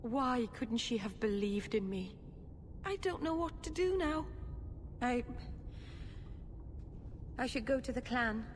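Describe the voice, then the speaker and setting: An anguished young elf mage for a fantasy game. The voice is high and openly emotional, with an earnest, pleading manner. It rings in a long reverb over faint noise. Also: female voice, expressive, slow, pauses often. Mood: grieving, bitter. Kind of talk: video game dramatic acting.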